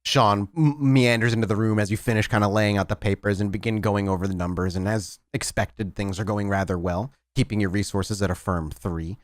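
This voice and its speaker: A man's voice: lowish voice, steady tone, a little fast, hardly any pauses, dry recording, studio quality. A man acting as game master, improvising narration and putting questions to players in a tabletop roleplaying game.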